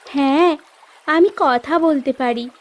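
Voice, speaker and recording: A kind little magical fish from a cartoon, acted by a woman. It speaks very high and slowly, with gentle, wise reassurance. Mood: gentle, reassuring. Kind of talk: cartoon voice acting.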